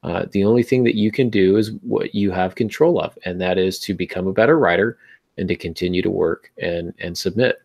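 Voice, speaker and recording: Low, creaky-voiced man giving advice. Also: brisk, darkish tone. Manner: encouraging, addresses listener directly. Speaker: podcast host. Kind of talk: conversational advice to writers.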